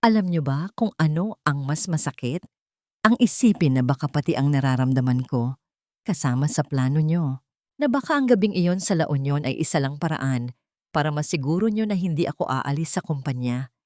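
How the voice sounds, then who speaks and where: A woman's voice: low-pitched, expressive, moderate pace, warm tone, no room sound, clean studio sound. A woman playing a hurt, guarded young female employee, bitter and wounded, in a romance audio drama.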